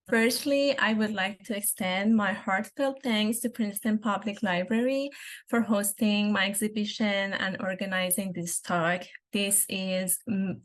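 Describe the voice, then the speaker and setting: A woman's voice: mid-range voice, measured pace. A woman in her thirties from Iran with an Iranian accent, giving an earnest, careful presentation as a guest speaker over a video call. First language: Persian. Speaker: guest speaker, architectural designer.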